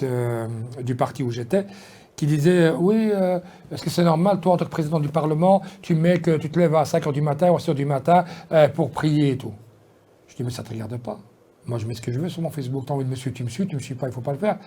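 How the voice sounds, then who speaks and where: A man's voice: fairly high pitch, dark tone, breathy edge. A man, talking casually in an interview, rambling with many fillers and restarts. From Belgium. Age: 50s. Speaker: politician guest.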